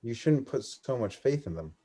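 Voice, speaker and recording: A man's voice: mid-range voice, lively intonation, normal speed, noisy background, close to an everyday voice. A man playing a stoic lord in his late thirties in a dramatic reading set in feudal Japan. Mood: reserved, doubtful.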